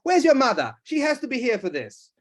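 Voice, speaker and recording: A man voicing a pompous, ranting old male duke who shouts and blusters. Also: very high voice, huge pitch swings, a little fast, voice pushed up, full character voice. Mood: furious.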